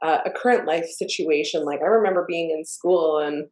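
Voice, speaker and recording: A woman's voice: low voice, normal speed, a little mellow. A woman hosting a podcast in an easy, conversational way. She tells earnest stories and acts out little quotes as she goes. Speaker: podcast host. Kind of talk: casual conversational interview. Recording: roomy echo.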